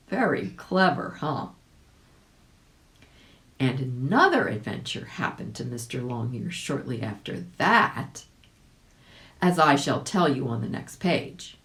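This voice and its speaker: A woman reading a children's story aloud in an expressive, animated way, addressing young listeners directly. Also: rumbling low. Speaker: volunteer audiobook reader.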